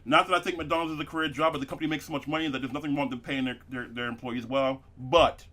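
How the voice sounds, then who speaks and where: A man's voice: medium pitch, fairly even intonation, fast talker, pushed and bright. A man hosting a casual comedy podcast, rambling with many fillers and restarts and laughing at his own jokes. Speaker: podcast host.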